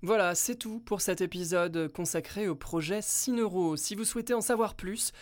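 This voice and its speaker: A woman reads a scripted news voice-over in a fairly low, measured voice. The sound is dry and close with no room echo. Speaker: narrator. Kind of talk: scripted narration.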